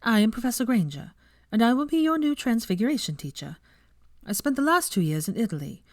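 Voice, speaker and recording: Kindly young female teacher read by a woman: measured, self-effacing, a little nervous, for audiobook dialogue in a dry room. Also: on the high side, a little mellow, no room sound, pitched above the performer's own voice. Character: kind, slightly nervous young woman professor. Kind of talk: audiobook character voice.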